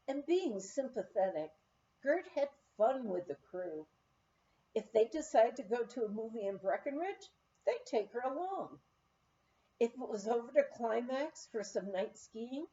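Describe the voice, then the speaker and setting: A woman reading a humorous memoir aloud as scripted narration, in an unhurried storytelling manner, on a slightly dull recording. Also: fairly high pitch, mellow. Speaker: audiobook reader.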